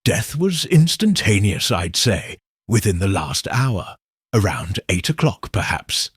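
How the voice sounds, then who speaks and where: Guy doing a grim doctor, slow and careful, dry close sound. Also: lowish voice, very animated, husky, dry recording, full character voice. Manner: grim, measured.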